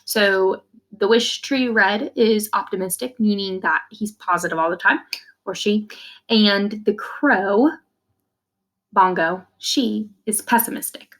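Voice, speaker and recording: A woman's voice: mid-range voice, takes it slowly, fairly bright tone. A woman reading a children's novel aloud like a teacher, expressively, in the first person, with simple explanations for young listeners. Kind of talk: read-aloud storytelling with brief explanations.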